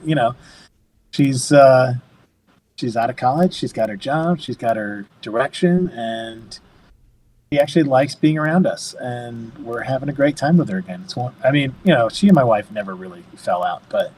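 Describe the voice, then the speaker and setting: A man in his fifties, a podcast guest, chatting casually and telling animated stories, laughing often and acting out what others said. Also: mid-range voice, normal speed, breathy edge, rough recording. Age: 50s. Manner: animated storyteller, laughs often, acts out quotes. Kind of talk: casual chat.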